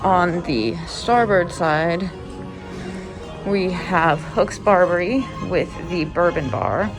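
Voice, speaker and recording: Woman, chatty tour guide, slightly low voice, music playing behind. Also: slow pace, rough recording. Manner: chatty guide pointing things out. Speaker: vlogger giving a ship tour. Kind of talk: walking tour narration. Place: inside a cruise ship, walking.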